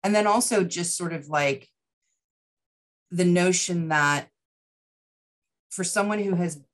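Low-voiced middle-aged woman explaining thoughtfully. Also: takes it slowly. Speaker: interview guest, writer and producer. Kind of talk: conversational, explanatory interview talk. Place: indoors, book-lined room, video call.

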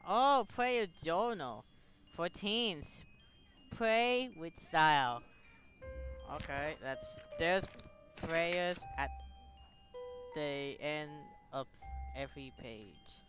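A man casually commenting on a game playthrough and reading aloud in a halting, stumbling way. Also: fairly high pitch, lively intonation, over music. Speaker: gaming streamer reading along.

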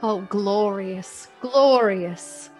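A woman's voice: medium pitch, very slow, a little mellow, faint music behind. A woman narrating a radio play as a scripted storyteller, expressively savoring each descriptive word.

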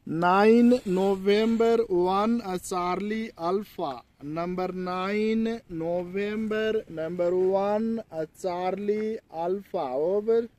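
A middle-aged man with a Nepali accent making a ham radio contact outdoors, deliberately repeating phrases for clarity. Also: sits high, a little mellow. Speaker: amateur radio operator. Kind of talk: ham radio contact, call signs and signal reports. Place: outdoors on a dry hillside. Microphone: filmed by a phone a few steps away, holding a radio hand mic.

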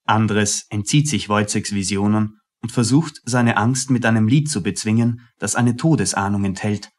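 A man's voice: lowish voice, moderate pace, a little mellow. A man reading scripted scene narration for a radio play.

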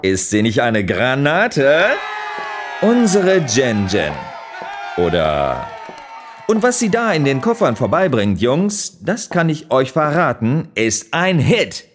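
A man playing a gushing, leering TV game show host in an audio drama, with theatrical, drawn-out showman delivery. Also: medium pitch, huge pitch swings, music in the background, crowd noise. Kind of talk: German audio drama acting.

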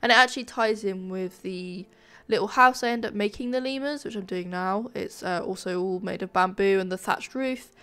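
A woman narrates a gaming video in a casual, self-effacing way. Her mid-pitched voice is nasal and a little flat, as she is ill with a sore throat. She thinks aloud informally as she goes. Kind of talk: casual speed-build commentary, chatty voice-over.

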